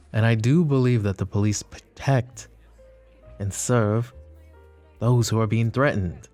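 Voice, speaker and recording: Guy doing a mafia boss for asmr roleplay, gravelly and kinda dark mellow voice, possessive, teasing, dangerous. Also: fairly low pitch. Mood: coolly persuasive. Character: flirty, possessive male mafia boss, protective and menacing. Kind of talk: intimate roleplay ASMR acting.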